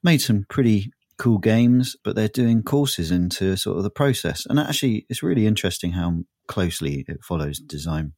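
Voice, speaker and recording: A man's voice: on the low side, lively intonation, normal speed, hardly any pauses, darkish tone, dry recording, clean studio sound. A man with a sore, throaty voice, chatting casually as a podcast co-host, rambling and often laughing. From southern England. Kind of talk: casual chat.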